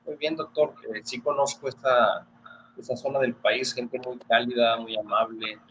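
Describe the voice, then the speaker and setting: A man's voice: medium pitch, fairly even intonation, normal speed, pushed and bright, a little hiss or hum, low-quality recording. A man with a northern Mexican border accent interviewing a doctor politely and formally over a video call. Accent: northern Mexican border Spanish. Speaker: interviewer and news presenter.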